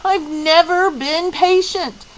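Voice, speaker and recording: A woman's voice: sits high, expressive, unhurried, voice pushed up, rough recording. A woman reading aloud and voicing a passionate, impatient young girl who pleads. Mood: pleading.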